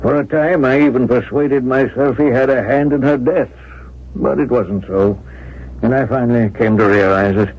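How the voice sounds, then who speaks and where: An actor playing a lovelorn old uncle in a 1970s radio drama, husky, dreamy and halting as he speaks. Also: mid-range voice, natural voice. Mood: resigned, reflective. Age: older. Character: lovelorn old uncle, wistful, devoted to dead sweetheart. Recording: dull 1970s radio recording.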